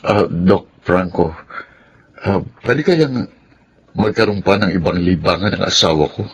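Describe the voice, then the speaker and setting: An actor in a Filipino radio drama playing a worried, devoted husband and respectful son. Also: male voice, low-pitched, unhurried, slight background noise. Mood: polite, earnest.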